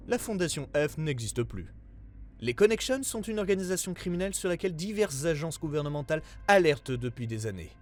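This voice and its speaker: A man reading a scripted investigative report as narrator, in a formal, measured way. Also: a little high.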